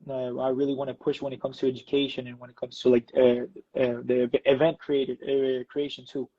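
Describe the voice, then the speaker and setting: A man's voice: medium pitch, level tone, a little fast, no room sound, highs cut off. A man from Ecuador, an electro dancer, talking casually in an interview with many fillers and restarts.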